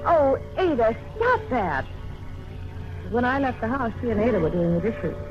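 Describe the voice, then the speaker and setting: An actress playing a vain, catty sister with a cutting, condescending manner in a 1950s radio drama. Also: female voice, a little high, sing-song, normal speed. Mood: airy, defensive. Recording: muffled vintage radio recording with music.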